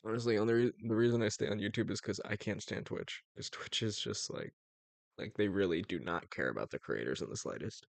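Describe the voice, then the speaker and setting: A man's voice: lowish voice, brisk, gravelly, no room sound. A young man chatting casually on a livestream, rambling with lots of fillers and restarts and chuckling often. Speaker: streamer.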